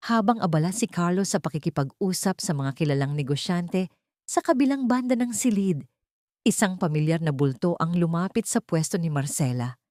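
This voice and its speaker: A woman reading a scripted dramatic love story as narrator, in a measured, solemn manner. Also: lowish voice, lively intonation, darkish tone, no room sound, clean studio sound.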